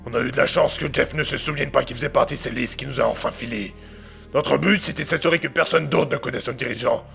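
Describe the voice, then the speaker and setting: A man's voice: medium pitch, quick pace, vocal fry, a bit bright, faint music behind. An actor playing a crude, nervous young hitman who talks in slang and often hesitates. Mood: tense. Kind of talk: amateur audio drama.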